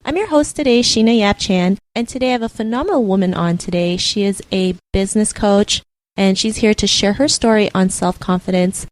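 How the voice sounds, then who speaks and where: A woman's voice: mid-range voice, brisk. A woman hosting a podcast in an upbeat interviewing style. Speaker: podcast host.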